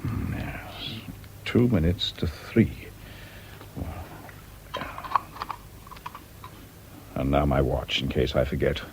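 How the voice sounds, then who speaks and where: An actor in a radio drama playing a discreet, quietly scheming doctor, polite, understated and deliberate. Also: male voice, rumbling low, animated, background noise. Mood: furtive muttering.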